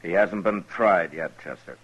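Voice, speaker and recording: A man acting a stern, steady frontier lawman in an old-time radio western, speaking deliberately. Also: deep voice, slightly rough, dull audio, exaggerated voice. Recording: noisy old radio drama recording.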